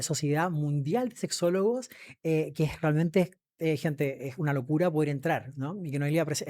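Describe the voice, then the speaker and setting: A man's voice: on the high side, a little mellow. A man hosting a conversational interview, speaking effusively and emphatically and often addressing the audience. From Chile. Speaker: podcast host.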